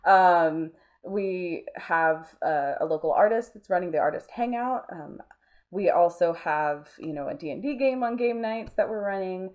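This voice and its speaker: A woman's voice: lowish voice, a little slow, darkish tone. A woman talking casually as an interview guest, chatty and informal, often dropping in filler phrases. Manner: chatty, informal, frequent "like" and "you know". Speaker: interview guest, nonprofit arts space co-founder.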